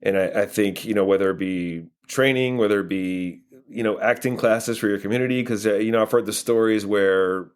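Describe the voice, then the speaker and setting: An American man answering interview questions casually, in a halting way as he thinks aloud. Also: lowish voice, clear, resonant tone. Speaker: guest playwright and filmmaker.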